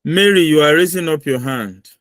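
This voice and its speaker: A man's voice: a little high, normal speed, a bit bright, dry recording. A man running a mock visa interview on a video call, giving firm, lecturing feedback and repeating his points for emphasis.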